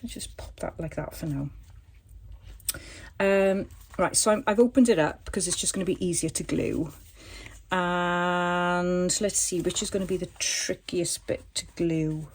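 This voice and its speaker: Chatty woman with a slightly croaky voice. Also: fairly low pitch, measured pace. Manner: chatty, thinks aloud while working. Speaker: crafting tutorial host. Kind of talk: casual craft demonstration.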